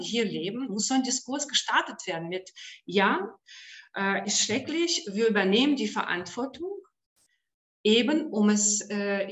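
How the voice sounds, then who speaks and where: Woman from Ukraine, panel guest on a video call; hesitant, halting delivery with lots of fillers, recorded in an echoey room. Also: fairly high pitch, a bit bright. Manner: hesitant, many fillers and restarts. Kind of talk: online panel discussion.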